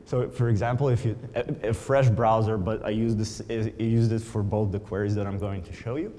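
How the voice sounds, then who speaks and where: A man's voice: lowish voice, level tone, fairly quick, mellow. A man whose first language is Slovenian, giving a conference talk and explaining with frequent ums. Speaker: conference speaker. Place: large conference hall. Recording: reverberant hall.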